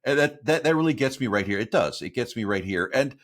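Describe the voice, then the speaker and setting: A man's voice: medium pitch, animated, a little fast, a bit bright. A middle-aged man, a voiceover artist, talking earnestly about his work as an interview guest. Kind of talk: casual interview talk.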